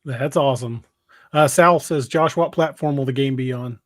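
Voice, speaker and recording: A man's voice: mid-range voice, moderate pace, no echo. A man hosting a podcast and chatting casually in a rambling, plain-spoken way. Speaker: podcast host and author. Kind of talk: casual livestream chat.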